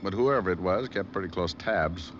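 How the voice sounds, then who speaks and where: An actor playing a cool, sardonic private detective in an old radio drama, with a dry, offhand delivery. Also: male voice, sits low, moderate pace, dull audio, natural voice. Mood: casual, businesslike. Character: wisecracking, cool-headed male private detective. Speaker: lead actor and first-person narrator in a radio detective drama. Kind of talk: old time radio detective acting and narration. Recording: noisy, band-limited vintage radio recording.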